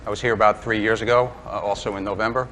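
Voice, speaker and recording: Chatty older New York man with a low voice. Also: steady tone, fast talker, sharp tone, a little hiss or hum, low-quality recording. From Brooklyn, New York. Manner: chatty, self-deprecating, many ums and uhs. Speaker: woodturning demonstrator. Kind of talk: live demonstration talk to a club. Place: workshop or club room with an audience.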